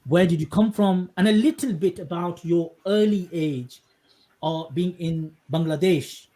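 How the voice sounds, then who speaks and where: Male podcast host, slightly high voice, polite, halting, repeats himself while finding the question. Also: warm tone. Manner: courteous, hesitant, repeats words while searching for phrasing. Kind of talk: conversational interview.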